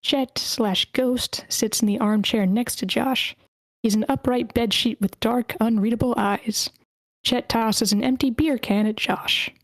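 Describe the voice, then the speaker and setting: A woman's voice: on the high side, fairly even intonation, measured pace, dry recording, low-quality recording. A woman hosting an online table read, casually organizing and reading screenplay stage directions aloud. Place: online meeting.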